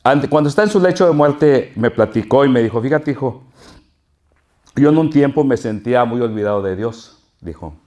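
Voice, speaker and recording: Older male pastor, northern Mexican accent, warm folksy preaching with animated storytelling. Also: mid-range voice, moderate pace. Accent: Mexican Spanish, northern. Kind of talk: sermon with personal storytelling.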